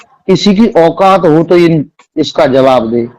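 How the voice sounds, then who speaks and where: A man gives interview answers on a call in a fairly high voice. He phrases things formally and with measure, using learned religious vocabulary. The recording is close and dry. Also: lively intonation, a little mellow, no room sound, poor audio. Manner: formal, measured, Sanskritised wording. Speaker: religious singer-storyteller interviewed by phone.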